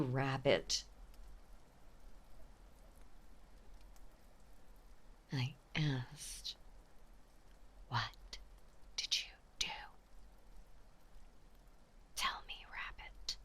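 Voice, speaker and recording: A woman playing an obsessive, possessive mafia heiress ex-girlfriend in a dramatic audio roleplay, with intense, menacing, theatrical delivery and a breathy voice. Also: low-pitched, exaggerated voice. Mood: cold menace. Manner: intense, menacing, theatrical, swinging between hush and outburst. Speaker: voice actor.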